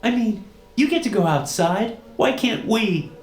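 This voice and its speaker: Man doing a teenage sister, high, lively, insistent plea, roomy sound, music under. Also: measured pace. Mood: pleading. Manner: begging, insistent. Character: pleading teenage girl, stubborn sister.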